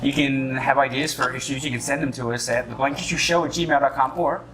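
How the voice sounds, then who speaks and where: A man's voice: medium pitch, fairly even intonation, fairly quick, a bit bright, faint music behind, rough recording. A man co-hosting a casual opinionated talk show, blunt and teasing, swearing freely. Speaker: co-host. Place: bakery, among other people. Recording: busy public room with chatter.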